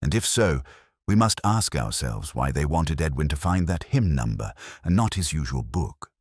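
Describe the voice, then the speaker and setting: A man performs a courteous male police inspector in a detective story. The voice is very deep and slightly husky, and the questions are gentle but incisive. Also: big pitch swings, normal speed, no echo, studio quality, full character voice. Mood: calm probing. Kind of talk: mystery story dialogue.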